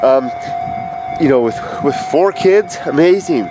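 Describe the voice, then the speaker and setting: Stuffed-up fifties man, casual hesitant vlogger, recorded outdoors with clear traffic noise. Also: fairly high pitch, animated. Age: 50s. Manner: rambling, halting, with many fillers and restarts. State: stuffed up with allergies. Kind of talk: casual video message. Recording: noisy outdoor street recording.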